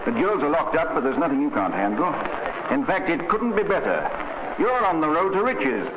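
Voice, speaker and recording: An actor playing a smooth, cocky, charming crook in a vintage radio drama, with a British accent and a breezy, teasing manner. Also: male voice, fairly high pitch, sing-song, brisk. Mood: breezy, confident. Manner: suave, breezy, teasing, self-assured. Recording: muffled, noisy old radio recording.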